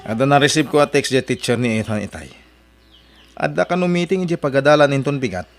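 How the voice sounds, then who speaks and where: A man's voice: medium pitch, brisk, close to an everyday voice. An actor playing a practical, plain-spoken married father explaining things conversationally in an Ilocano drama. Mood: matter-of-fact. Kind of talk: Ilocano radio drama dialogue. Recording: music under the voice.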